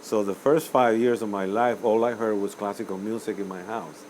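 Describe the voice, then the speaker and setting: An older man from Cuba, a musician answering interview questions in a rambling, hesitant way with many pauses and self-corrections. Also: lowish voice, fairly even intonation, a little mellow, a little hiss or hum, low-quality recording. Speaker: musician guest.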